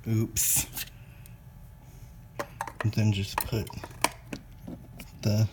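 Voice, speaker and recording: A man presenting a casual step-by-step product demonstration in a halting, plain-spoken way. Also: lowish voice, monotone. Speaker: presenter of a how-to video. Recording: clear background noise with clicks.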